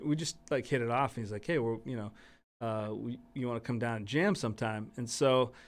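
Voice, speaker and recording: A man's voice: medium pitch, fairly quick. Rambling man with a Boston accent. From Massachusetts. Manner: rambling, full of "you know" and "like". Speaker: podcast guest, musician. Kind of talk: casual storytelling chat.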